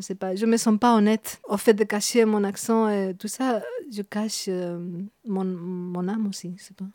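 A woman in her fifties from Spain, speaking with a Spanish accent, reflective and halting, with many restarts. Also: mid-range voice, dark tone, no echo, studio quality. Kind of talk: reflective interview talk.

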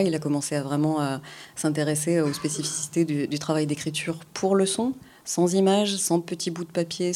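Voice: mid-range voice, darkish tone. A person presenting their sound projects as a guest on a panel, speaking off the cuff and searching for words. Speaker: radio creator, panel guest.

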